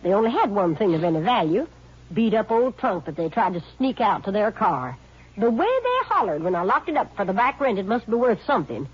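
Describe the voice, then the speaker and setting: Huffy, husky landlady, vintage radio. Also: medium pitch, very animated, fairly quick. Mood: indignant. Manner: folksy, huffy, melodramatic. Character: indignant, suspicious spinster rooming-house owner in rural Texas. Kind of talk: 1950s radio drama acting. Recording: dull vintage radio recording.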